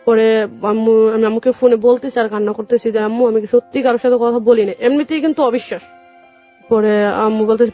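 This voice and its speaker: Young Bangladeshi woman, dull radio sound with music. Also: a little high. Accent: Bangladeshi Bengali. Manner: colloquial, retells conversations as quoted dialogue. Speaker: guest telling life story. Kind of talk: personal storytelling in a radio interview.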